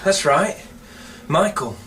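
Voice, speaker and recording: An actor playing a friendly, respectful young pit worker in a radio drama. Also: male voice, fairly high pitch, animated, takes it slowly, a bit bright, a little hiss or hum. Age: young adult. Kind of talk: broadcast radio drama acting.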